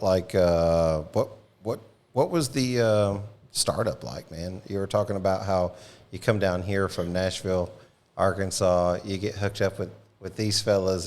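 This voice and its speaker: Deep-voiced man hosting a casual podcast. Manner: jokey, folksy and informal. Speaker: podcast host. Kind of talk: casual interview banter.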